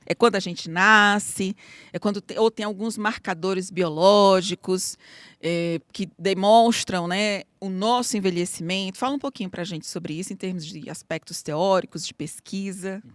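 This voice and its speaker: A woman's voice: medium pitch, steady tone, normal speed, no echo. A middle-aged woman hosting a podcast interview in a casual, affirming way. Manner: warm, affirming interviewer, frequent "né". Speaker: podcast host.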